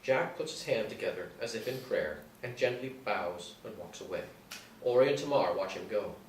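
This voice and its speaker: Male narrator, lowish pitch, scripted screenplay reading, echoey room, distant mic. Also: lively intonation, normal speed, slight background noise. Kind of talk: reading screenplay action lines.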